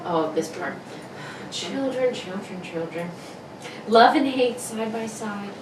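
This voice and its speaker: A woman's voice: mid-range voice, animated, slow pace. A woman playing a doting, fretful 1950s suburban mother in an amateur radio play reading. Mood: brooding. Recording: roomy, distant mic, clear background noise.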